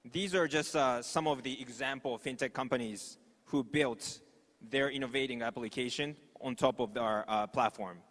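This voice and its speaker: A man from Japan with a Japanese accent, a native Japanese speaker, giving an informal, halting conference presentation. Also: mid-range voice, fairly bright tone. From Osaka, Japan. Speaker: startup executive, conference speaker. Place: large conference hall stage. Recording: echoey hall with long reverb.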